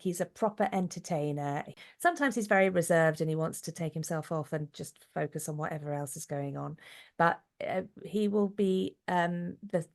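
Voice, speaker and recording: A middle-aged woman giving a casual interview, telling stories in a chatty, animated way. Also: fairly low pitch. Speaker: interview guest, actress and director.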